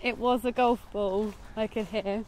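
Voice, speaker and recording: A woman's voice: medium pitch, fairly even intonation, a little slow, a little mellow, soft background music. A young British woman co-hosting a film vlog, chatting in an informal, understated way while walking outdoors. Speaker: co-host of a film discussion vlog. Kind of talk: casual chat while walking. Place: outdoors, walking in woods and a golf course.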